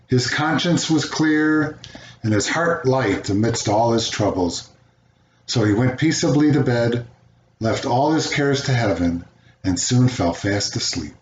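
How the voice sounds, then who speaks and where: An older man reading a children's fairy tale aloud as a storyteller, with an expressive delivery. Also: medium pitch, a little slow. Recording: roomy, dull home recording, faint noise.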